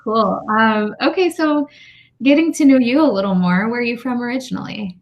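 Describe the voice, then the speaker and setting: A woman's voice: a little high, normal speed, lo-fi sound. A woman hosting a meetup interview over a video call, chatty and friendly, with frequent fillers.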